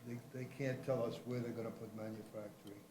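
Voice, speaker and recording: A man's voice: medium pitch, level tone, fast talker. A man commissioner firmly restates his position at a public planning meeting. He sounds somewhat off mic, in an echoey hall with background noise.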